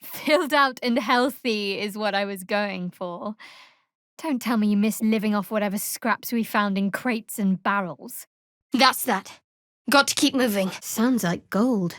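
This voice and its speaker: An actress playing a guarded, wry young female cleric in a fantasy video game, speaking in a cool, dry, measured way. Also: mid-range voice, a bit bright, no room sound. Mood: dry, teasing. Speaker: video game companion character. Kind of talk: scripted fantasy game voice acting.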